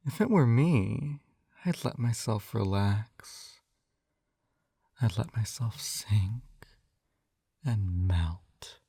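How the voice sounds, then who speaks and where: A man playing a possessive, coaxing hypnotist captor in an intimate ASMR roleplay, with a soft velvety voice and teasing manner. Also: mid-range voice, no room sound, studio quality, heavily acted. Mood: hypnotic, tender. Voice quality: soft, velvety, breathy whispers at times. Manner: slow coaxing, teasing tag questions.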